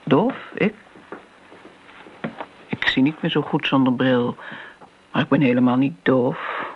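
Een actrice die in een hoorspel een oudere, keurige tante speelt, ontwijkend en sentimenteel, met een ingehouden stem. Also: female voice, extremely low pitch, takes it slowly. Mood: vague, prim. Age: older. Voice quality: hushed, creaky. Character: elderly genteel aunt, evasive, sentimental, prim. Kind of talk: radio play acting. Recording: muffled, noisy old radio play recording.

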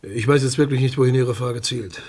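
An actor as a male public prosecutor in a radio crime play. His voice is husky and mid-pitched. He speaks in measured official phrases, guarded and dismissive. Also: a little mellow, natural voice. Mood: defensive. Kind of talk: radio crime drama dialogue.

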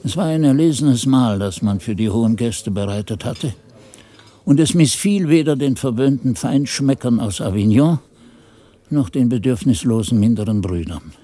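An actor playing a monk who narrates his memories in a measured, expressive way in a radio drama. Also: medium pitch, lightly acted. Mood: reflective. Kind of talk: scripted first-person narration in a radio drama.

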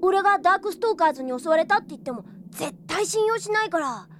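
A woman playing a cheeky, excitable, chatty young boy in an audio drama, eager and boyish. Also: very high-pitched, fast, background noise. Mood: excited. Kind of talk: Japanese audio drama acting.

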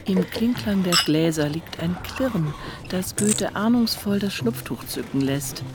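A woman playing the wry literary narrator of a radio play, with a measured delivery. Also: lowish voice, lively intonation, background noise. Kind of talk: scripted narration. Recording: faint music behind.

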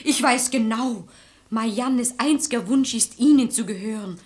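Woman acting a shrewd maid, fairly high voice, brisk, commanding, exasperated, verse comedy radio play. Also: a little mellow, close to an everyday voice. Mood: impatient, coaxing. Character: sharp-tongued, resourceful maidservant, bossy matchmaker of quarrelling lovers.

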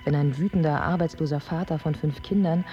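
An actress playing a proud, angry yet compassionate Iraqi woman in her twenties, in a measured radio drama monologue. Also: low-pitched, steady tone, natural voice. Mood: sad, compassionate. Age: 20s. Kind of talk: literary first-person radio drama monologue. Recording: dull sound with music underneath.

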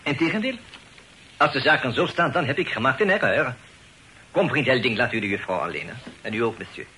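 An actor playing a courteous foreign landlord in a radio play, using French loanwords and a formal, inverted word order. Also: male voice, medium pitch, lively intonation, brisk, sharp tone. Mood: insinuating, placating. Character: courteous foreign landlord speaking affected, French-laced Dutch. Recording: noisy old radio drama recording.

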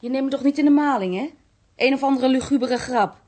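A woman's voice: high-pitched, fairly quick, a little mellow. An actress with a Netherlands Dutch accent playing a trusting, innocent woman in a radio drama. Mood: disbelieving. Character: trusting, childlike innocent woman, partner of a burglar.

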